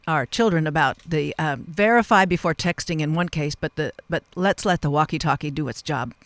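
A man hosting a radio interview, speaking in long, halting, rambling sentences that often double back on themselves. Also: a little high, a bit bright, no room sound. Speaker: radio host.

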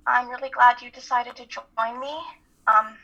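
A woman's voice: a little high, flat delivery, normal speed, vocal fry, sharp tone, full character voice. A woman playing an earnest, hesitant young college student who leads a support group in an amateur radio play reading. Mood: welcoming, slightly nervous. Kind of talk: live dramatic play reading. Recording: muffled, phone-like call audio.

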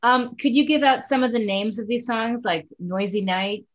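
Encouraging woman interviewer, fairly high voice. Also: normal speed. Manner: encouraging, short reactions. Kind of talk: casual video interview.

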